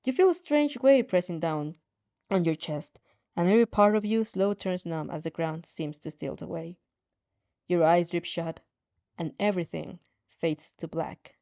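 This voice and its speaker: A woman's voice: lowish voice, moderate pace, warm tone. A woman narrating fan fiction as a dramatic story reading, on slightly dull audio.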